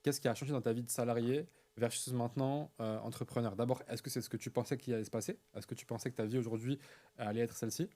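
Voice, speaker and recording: Fast-talking young male host. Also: medium pitch. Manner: fast, energetic, repeats words for rhythm. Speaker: host and e-commerce coach. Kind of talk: casual interview hosting.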